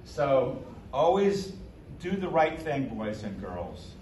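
A man's voice: medium pitch, very animated, takes it slowly, darkish tone. An older man giving children a simple Bible lesson as a ventriloquist storyteller, in an instructive way. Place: hotel conference room, seated before children. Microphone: camera a few metres away. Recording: echoey room, distant mic, background noise.

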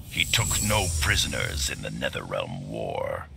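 A man playing a menacing otherworldly being in a video game, with a gravelly voice. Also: extremely low pitch, wildly swooping pitch, measured pace, music in the background, exaggerated voice. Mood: ominous. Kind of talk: video game fight intro dialogue.

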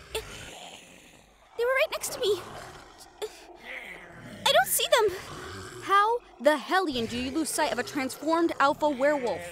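A woman's voice: high voice, background noise. A woman voice-acting a tough young fighter in a fantasy action audio roleplay, with dramatic, sweary banter.

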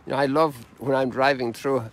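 A man's voice: medium pitch, steady tone, brisk. An older man in his sixties speaks as a priest hosting a livestream. He chats and reflects in a preaching vein, outdoors with some faint ambient noise behind him. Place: outdoors on a lakeshore.

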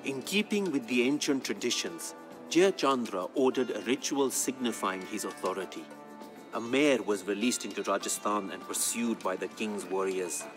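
Man playing a deep-voiced, solemn court poet storyteller. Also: lightly acted. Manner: measured, grave, dramatic. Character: male court poet solemnly telling his king's legend. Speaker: narrator. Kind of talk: dramatic scripted storytelling narration. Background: background music.

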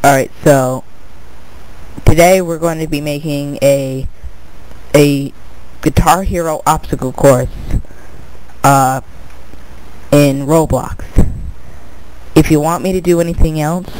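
A man's voice: fairly high pitch, fairly even intonation, slow, pauses often, darkish tone, rough recording. A young man with a stuffed-up nose, casually talking viewers through a screen-recorded tutorial, rambling and restarting his words. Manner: rambling, repeats "but anyway", restarts words. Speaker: tutorial video maker.

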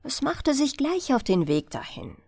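A woman's voice: high-pitched, very animated, unhurried, darkish tone, dry recording. A woman narrating a fairy tale as a bedtime audiobook.

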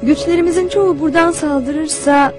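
Actress as young cartoon squirrel leader, high female voice, composed, commanding, music behind. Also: lightly acted. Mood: serious, focused. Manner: measured, decisive. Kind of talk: cartoon dub acting.

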